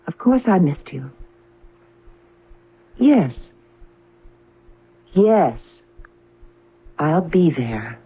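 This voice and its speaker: A woman's voice: sits low, sing-song, extremely slow, long pauses, slightly rough, full character voice. An actress playing a sweet, demure young female android in a 1970s radio drama. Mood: bright, polite. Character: young female android, sweet, compliant, artlessly charming fiancee. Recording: tinny, noisy old radio recording with hum.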